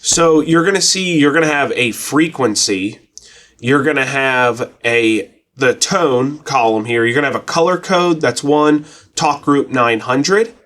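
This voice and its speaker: A man's voice: medium pitch, measured pace, a bit bright. A man giving a casual tutorial in a plainspoken, folksy, colloquial way as a presenter. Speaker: presenter of a radio tutorial channel. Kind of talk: casual explanatory tutorial talk.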